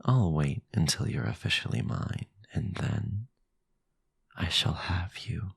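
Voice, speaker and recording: A man playing a sinister, possessive vampire lord in an audio roleplay, commanding and formal, with a breathy, gravelly voice. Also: low voice, lively intonation, dry recording, whispered, studio quality, exaggerated voice. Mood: hungry, seductive.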